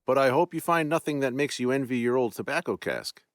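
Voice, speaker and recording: A man's voice: mid-range voice, a little fast, dry recording, studio quality, voice pushed up, natural voice. A man voicing a courteous young nobleman who plays host to a friend, with a genial, solicitous manner.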